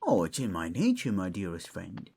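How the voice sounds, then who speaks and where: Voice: sits low, huge pitch swings, normal speed, vocal fry, mellow, breathy edge, heavily acted. A performer playing an eccentric, genial and chatty male fantasy lord in an audio roleplay, with theatrical, courtly, mischievous delivery. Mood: playful.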